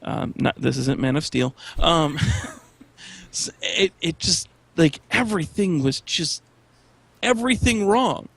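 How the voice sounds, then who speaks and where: A man with a gravelly, slightly high voice in a dry, close recording. He chats informally as a panelist, stopping and restarting as he repeats words. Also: slow, fairly bright tone, no room sound. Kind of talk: casual panel discussion.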